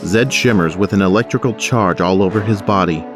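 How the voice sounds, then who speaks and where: Low-voiced male drama narrator. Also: fairly quick, loud music behind the voice. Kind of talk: scripted audio drama narration.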